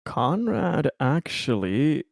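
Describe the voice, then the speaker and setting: A man playing a tabletop roleplaying game, casually describing his character's actions with halting, repeated words. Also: fairly high pitch, sing-song, vocal fry, no room sound, studio quality.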